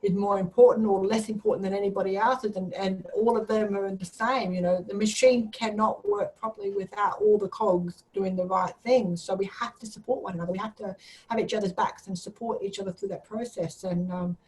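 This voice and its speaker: A woman's voice: mid-range voice, brisk, darkish tone, low-quality recording. Blunt, jokey woman in casual chat. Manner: blunt, direct, jokey, sweary.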